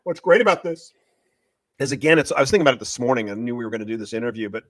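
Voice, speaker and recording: Excitable male author in a dry-sounding interview. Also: medium pitch, rapid-fire, no echo. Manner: excitable, emphatic, repetitive storytelling. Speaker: author and screenwriter being interviewed. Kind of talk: animated promotional interview talk, retelling a story.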